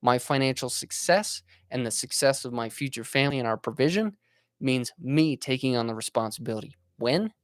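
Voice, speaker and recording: A man's voice: medium pitch, brisk, no echo, studio quality. A man in his twenties talking earnestly and casually as a podcast host, motivational in tone, with frequent fillers and restarts. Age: 20s. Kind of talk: casual monologue.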